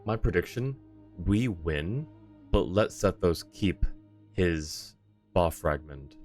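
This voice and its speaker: A man's voice: sits low, animated, slow, a little mellow, soft background music. A man doing casual live game commentary as a streamer, playful and mock-dramatic as he chats to viewers.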